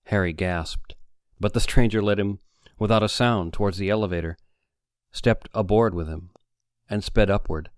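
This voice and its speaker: A man's voice: on the low side, moderate pace, clear, resonant tone, dry recording, clean studio sound. A man reading a story aloud as an audiobook narrator, in dramatic scripted narration.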